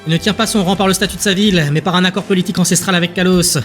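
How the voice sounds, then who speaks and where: A man's voice: sits high, steady tone, very fast, fairly bright tone, voice pushed up. A man voicing a haughty woman councillor, formal and full of scorn, in an audio drama. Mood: contemptuous. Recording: music bed behind the voice.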